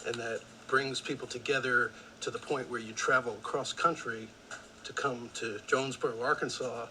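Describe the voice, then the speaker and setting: A man from New Jersey with a very bright, forward voice, speaking in a documentary interview. He is deliberate and a little wry, with faint noise behind him. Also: mid-range voice, fairly even intonation, poor audio. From New Jersey, USA. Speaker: case supporter interviewed in a documentary.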